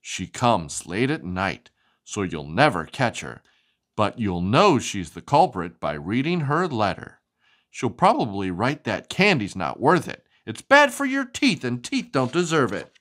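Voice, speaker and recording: A low-voiced woman hosts a children's storytime with hugely animated delivery. Her reading is sing-song and expressive, and she turns to chat with a child, asking questions along the way. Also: a little slow.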